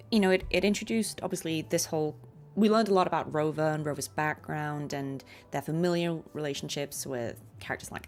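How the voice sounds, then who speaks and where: A woman streamer giving casual game commentary in a chatty, reflective, encouraging way. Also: sits low. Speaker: streamer and voice actor.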